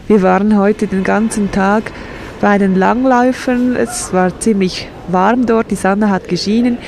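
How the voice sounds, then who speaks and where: Slow-speaking woman interpreter. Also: mid-range voice, gravelly, a little hiss or hum. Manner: measured, steady, voicing several signers. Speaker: voice-over sign language interpreter. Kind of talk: interpreted sports report and interviews.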